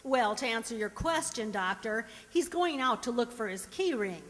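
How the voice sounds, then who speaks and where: A woman playing a sensible, fond wife who gently chides, with patient, folksy manners, in an old-time radio comedy. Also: on the high side, lively intonation, moderate pace, close to an everyday voice. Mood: practical. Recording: echoey hall stage recording.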